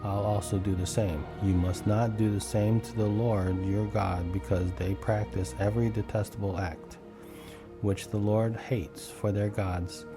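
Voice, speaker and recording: A man with a fairly low voice reads scripture from a script. Instrumental music plays clearly behind him. He now and then trips on a word as he goes through the verses. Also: flat delivery, measured pace. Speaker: scripture reader. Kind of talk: Bible reading, scripted narration.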